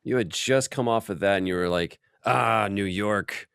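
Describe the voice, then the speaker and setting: A man with a low voice hosts a relaxed workshop interview. His delivery is informal, chatty and animated, like someone swapping stories. The sound is dry, with very little room on it. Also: normal speed, creaky, clean tone, dry recording. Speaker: host.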